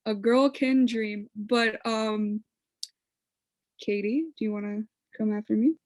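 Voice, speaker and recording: A woman's voice: mid-range voice, steady tone, slow, darkish tone, no echo. A young woman, a student theater club president, joking playfully on a video-call panel. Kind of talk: casual joking chat.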